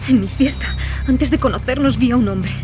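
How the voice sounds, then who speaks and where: A woman's voice: fairly high pitch, a little fast, over music, close to an everyday voice. An actress playing a young married woman on a night drive in a dramatized true-crime audio story. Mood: frightened.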